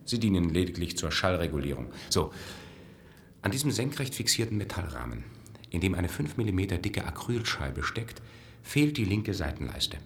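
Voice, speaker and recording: Deep-voiced, deliberate sound experimenter. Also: male voice, sing-song, roomy sound. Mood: calmly instructing. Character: male sound experimenter, erudite, eerily keen on demonstrations. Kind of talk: German science-fiction radio play dialogue.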